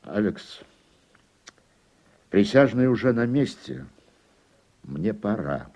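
A man's voice: lowish voice, sing-song, takes it slowly, very noisy, full character voice. A man acting a reluctant, reserved judge in a radio play, answering tersely. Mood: guarded. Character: reluctant, weary male judge under pressure.

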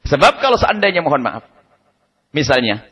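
A man giving a lively, emphatic religious study lecture, repeating his key points and chuckling now and then. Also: fairly high pitch, normal speed, slightly rough, muffled recording. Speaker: preacher. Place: study gathering hall. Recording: radio broadcast with long hall reverb.

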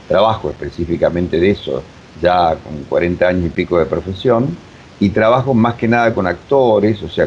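Older Rioplatense man, low voice, dull recording. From Uruguay. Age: 70s. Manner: rambling, digressive, many filler phrases. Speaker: interview guest, voice technique teacher. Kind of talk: reminiscing interview talk. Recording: dull, rumbly, faintly noisy recording.

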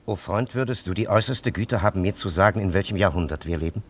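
Stately, low-voiced ancient scholar played by a man. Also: fast, slight background noise, close to an everyday voice. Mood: courteous, inquiring. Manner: stately, archaic, over-courteous diction. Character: solemn, condescending ancient scholar and time traveller, elderly man. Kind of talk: radio play acting.

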